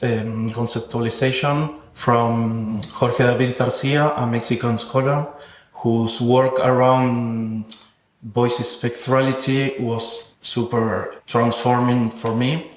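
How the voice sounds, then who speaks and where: Man speaking evenly in an echoey room. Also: mid-range voice. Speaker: interviewed sound artist. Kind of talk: reflective interview about own work.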